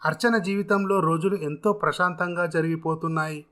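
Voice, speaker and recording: A man's voice: fairly high pitch, fairly even intonation, normal speed, bright, forceful tone. A man narrating a scripted dramatic story as a storyteller.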